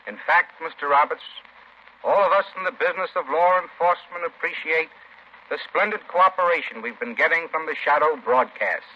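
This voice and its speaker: A man's voice: fairly high pitch, moderate pace, slight background noise. An American man giving courteous, formal scripted remarks as an official guest on 1930s radio. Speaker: guest, district attorney official. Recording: muffled 1930s radio broadcast.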